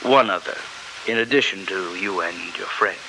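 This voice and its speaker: Low-voiced, suave smuggler villain. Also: male voice, moderate pace, sharp tone, heavily acted. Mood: polite menace. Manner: genteel, hesitant, smoothly threatening. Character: suave male smuggler villain, unhurried, politely menacing. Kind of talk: 1940s radio drama villain acting. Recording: noisy old 1949 radio recording.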